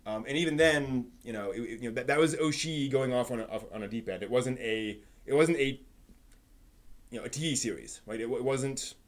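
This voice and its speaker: Man chatting off the cuff on a livestream. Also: mid-range voice. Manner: halting, thinking aloud, repeats and rephrases. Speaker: livestream host. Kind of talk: casual live-stream chat, answering viewers' comments.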